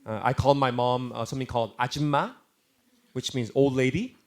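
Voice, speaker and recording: A man in his thirties from Korea with a Texas-tinged American accent, telling personal stories in a relaxed, self-amused way on a live talk show. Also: medium pitch, fairly quick. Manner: chatty, self-amused, many restarts. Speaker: talk show host and worship leader.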